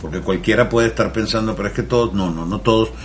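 Radio guest, male, Venezuelan accent, very low voice, earnest explainer, faint noise behind. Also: fast talker. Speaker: guest on mental health. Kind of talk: radio interview.

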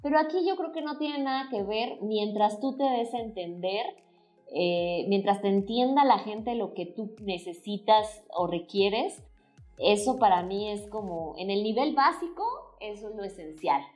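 A woman's voice: medium pitch, unhurried, soft background music. Playful Mexican woman hosting a chat. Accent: Mexican Spanish. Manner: playful, expressive, calls people "corazón". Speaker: host. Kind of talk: casual talk-show chat.

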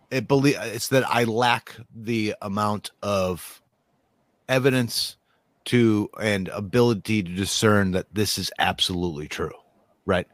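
Man, lowish pitch, casual and rambling, dry recording. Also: no echo. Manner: rambling, restarts often, says "like" a lot. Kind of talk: casual conversation.

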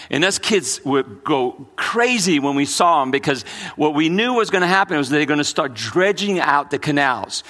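Middle-aged pastor guy, new orleans meets brooklyn accent, bright slightly high voice, repeats words a lot as he preaches. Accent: mixed New Orleans and Brooklyn. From United States. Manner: earnest preaching with frequent repeated words and restarts. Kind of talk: sermon.

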